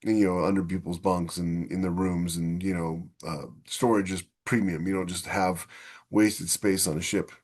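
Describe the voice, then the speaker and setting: A man in casual discussion as a podcast host, somewhat halting as he thinks aloud. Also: sits low, little pitch movement, a little mellow.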